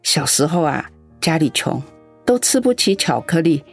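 A woman's voice: low-pitched, sing-song, normal speed, husky, faint music behind, heavily acted. A woman acting a lonely elderly grandmother in a radio drama, doting on the young but scolding her grown daughter in an aggrieved way. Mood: fond. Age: older. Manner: fond and sighing, turns scolding and aggrieved.

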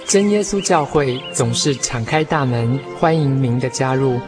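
An announcer delivers a scripted radio message. Loud music runs behind the voice throughout. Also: medium pitch, expressive, moderate pace.

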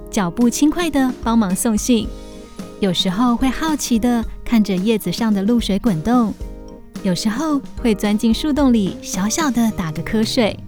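A woman with a fairly high voice narrates a children's audio story. Her delivery is scripted and expressive, made for storytelling, with music playing behind her.

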